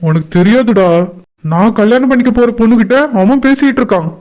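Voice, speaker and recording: Casting: male actor as jealous, scheming young cartoon groom, high voice, aggrieved and insistent, noisy soundtrack. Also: animated, fairly quick. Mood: irritated, vengeful. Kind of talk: cartoon voice acting in colloquial dialogue.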